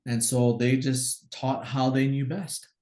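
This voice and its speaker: A man from Hawaii, a guest teacher, telling stories in a relaxed, explanatory way during a casual conversation. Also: medium pitch, measured pace. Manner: relaxed, explanatory, acts out quoted commands.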